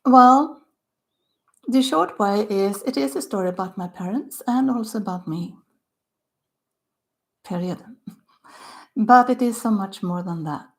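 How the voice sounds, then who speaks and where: Older Swedish woman with gravelly voice. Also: mid-range voice, animated, poor audio. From Sweden. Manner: halting, slow-spoken, drops to soft near-whispers at phrase ends. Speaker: author. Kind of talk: self-recorded book talk.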